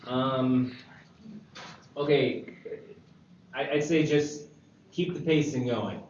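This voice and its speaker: A man's voice: mid-range voice, slow, a little mellow. A man casually briefing a group as an organiser, with informal, laid-back phrasing. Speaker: screenwriter and table read organiser. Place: echoey indoor room with a group. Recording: dull, echoey, noisy room recording from far off.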